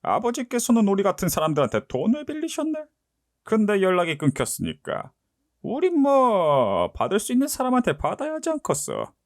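A threatening loan shark boss, voiced by a man, barks curt orders. His slightly high voice swings widely in pitch, recorded dry and close. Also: wildly swooping pitch, a little fast, no room sound, full character voice. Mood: menacing. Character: cold menacing male loan shark boss.

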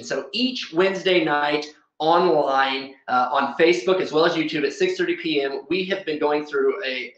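A man's voice: fairly high pitch, fairly bright tone. A man in his thirties, a pastor giving church announcements to camera in an upbeat, emphatic, informal way. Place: small plain indoor room. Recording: roomy echo, slightly dull sound.

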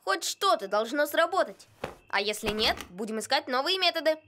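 A woman plays a caring young cartoon boy with a high voice. He sounds earnest and sensible, taking charge as he explains things. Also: animated, moderate pace, sharp tone, a little hiss or hum. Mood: determined. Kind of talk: animated cartoon acting.

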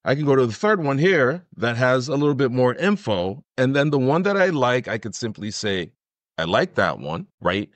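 Enthusiastic man hosting a software tutorial. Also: medium pitch, big pitch swings, moderate pace, dry recording. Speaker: tutorial host. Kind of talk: software tutorial, conversational explaining.

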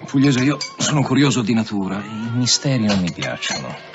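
A man's voice: lowish voice, big pitch swings, moderate pace, highs cut off, over music. An actor in an Italian radio drama playing a stubborn, curious police commissioner in his late thirties, with an insistent, clipped delivery. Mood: quietly probing.

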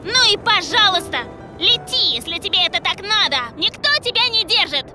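A small girl airplane from a children's cartoon. She sounds extremely high-pitched, touchy and emotional, with dramatic indignation. Music runs behind the voice. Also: animated, moderate pace, sharp tone, full character voice. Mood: upset. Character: huffy, emotional little girl toy airplane.

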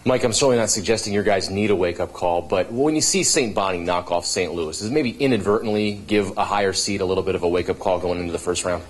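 A man's voice: deep voice, fast, hardly any pauses, slight background noise, low-quality recording. A man, a reporter, asking questions at a press conference, hesitant and with fillers.

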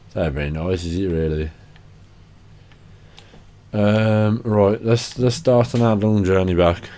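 A young man commentating casually over his game. He is tired and a little hoarse from a cough, chatty and sweary. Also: on the low side, measured pace, dark tone. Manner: sweary, chatty, self-deprecating. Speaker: gaming channel host.

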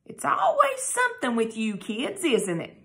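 A woman doing a warm teasing storybook dad. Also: on the high side, wildly swooping pitch, a little slow, exaggerated voice. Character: warm playful father saying good night.

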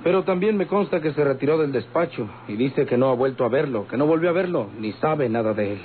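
A man's voice: medium pitch, expressive, lightly acted. Man acting formal Mexican police commander, tinny radio. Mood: grave concern. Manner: formal, measured, deliberate. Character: courteous, worried male police commander. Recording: tinny, hissy old radio recording.

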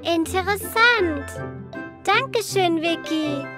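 A very high, bright-spirited little girl from a children's cartoon. She speaks slowly and simply, curious and helpful like a young teacher. Music plays behind her. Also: expressive, exaggerated voice. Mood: delighted. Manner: clear, simple, instructive. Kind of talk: children's educational cartoon dialogue.

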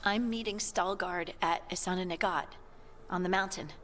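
An actress playing a gentle, reserved female adventurer in a video game. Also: on the low side, moderate pace, a little hiss or hum, close to an everyday voice. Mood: calm.

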